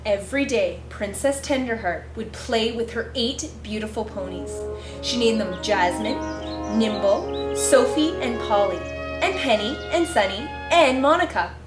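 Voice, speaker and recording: A woman reads a children's storybook aloud in a high, very animated voice. She performs it girlishly, playing up every reaction. The room has a clear echo, with faint music behind her. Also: unhurried. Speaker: teacher reading a picture book aloud. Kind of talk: dramatic storybook read-aloud in character.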